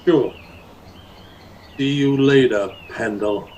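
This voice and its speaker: A man's voice: mid-range voice, slow pace, very noisy, close to an everyday voice. A man playing a surly, folksy bully's sidekick in an Old West radio drama. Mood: surly, threatening. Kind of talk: old-time radio Western acting.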